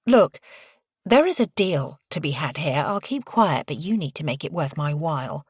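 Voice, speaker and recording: A woman's voice: low-pitched, sing-song, normal speed, slightly rough, no echo, clean studio sound, full character voice. A woman voicing a brash young female schemer in an audiobook, gushing, taunting and smug. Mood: smug, scheming.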